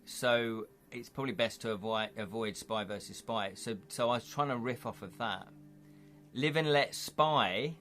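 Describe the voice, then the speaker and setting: An English-accented man rambles through a relaxed live-stream chat, often restarting his sentences as he thinks aloud. His voice sits at a medium pitch, and faint music plays underneath. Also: measured pace. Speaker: livestream host. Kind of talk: casual live-stream chat, reading viewer comments.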